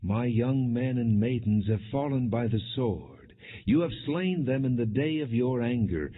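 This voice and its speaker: Male audiobook narrator, grave scripture reading, husky and unhurried, narrow tinny phone-like sound. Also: medium pitch, animated.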